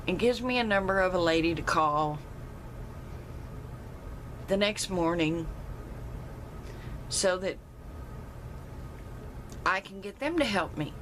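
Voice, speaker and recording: Loud-voiced woman, lower register, tells her own story with emphasis; slight background noise. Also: poor audio. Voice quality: loud, carrying voice. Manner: emphatic, rises in pitch when retelling her protest. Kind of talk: personal storytelling.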